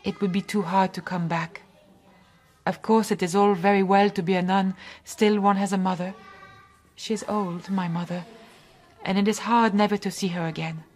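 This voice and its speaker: An actress playing a devoted, chatty nun in a radio drama. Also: female voice, medium pitch, steady tone, moderate pace, a little mellow, close to an everyday voice. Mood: fond, reminiscing. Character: devoted, chatty French nun, gently self-reproaching.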